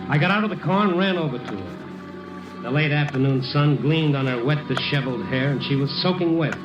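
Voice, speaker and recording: An American actor playing a wry, hard-boiled big-city newspaper reporter in an old radio detective drama, narrating and acting out scenes. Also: male voice, fairly high pitch, fairly quick, far from the mic, natural voice. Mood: brisk concern. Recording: muffled, roomy old radio recording, music behind.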